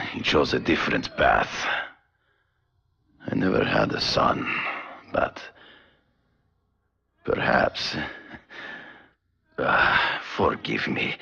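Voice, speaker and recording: Very deep, gravelly master hunter. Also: male voice, bright, forceful tone, muffled recording, full character voice. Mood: melancholy. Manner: formal, grave, deliberate, honor-bound. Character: proud, solemn aging master hunter seeking a protege. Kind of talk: video game voice acting.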